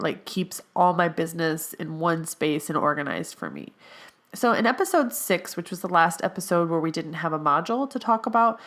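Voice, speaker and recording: A woman's voice: on the low side, fairly bright tone. A young adult woman hosting her own podcast, whose voice sounds a bit nasal from allergies, talking casually with many fillers. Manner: chatty, with frequent fillers. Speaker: podcast host, health coach in training. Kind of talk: casual solo podcast monologue.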